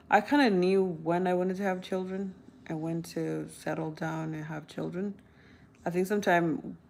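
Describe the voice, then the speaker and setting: South sudanese woman in her 30s vlogging, lowish voice with some gravel, laughs a lot. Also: darkish tone, slight background noise. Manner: chatty, laughs often, rambling restarts. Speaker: vlogger answering viewer questions. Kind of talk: casual talk to camera.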